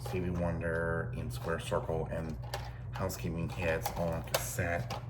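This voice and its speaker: Low, monotone man in his thirties, noisy recording. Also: measured pace. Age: 30s. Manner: rambling, repetitive, matter-of-fact. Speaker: vlogger showing thrift store finds. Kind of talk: casual unscripted show-and-tell. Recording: clear steady background noise, amateur recording.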